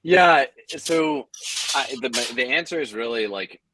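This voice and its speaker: A man's voice: mid-range voice, animated, takes it slowly, background noise. A man speaking casually as a panelist, telling anecdotes in a wry, self-deprecating way with emphatic repetition. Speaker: panelist, audio drama producer and company founder.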